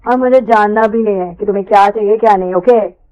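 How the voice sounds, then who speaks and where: A woman's voice: mid-range voice, fast, darkish tone, lightly acted, rough recording. A woman voicing a bashful but spirited young college woman in an audio story, sprinkling in English words. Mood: teasing, irritated. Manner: stammering when flustered, mixes in English words. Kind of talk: audio story character dialogue.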